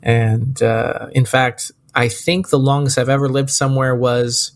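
A man's voice: mid-range voice, fairly even intonation, unhurried. A man from Oregon, a pastor, talking casually about his life with fillers and restarts. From Oregon, USA. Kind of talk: conversational interview answers.

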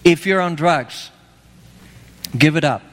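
A man's voice: a little high, takes it slowly, a bit bright. A man from Argentina preaching an evangelistic sermon, emphatic and pleading, repeating his key phrases. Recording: long reverb of a hall.

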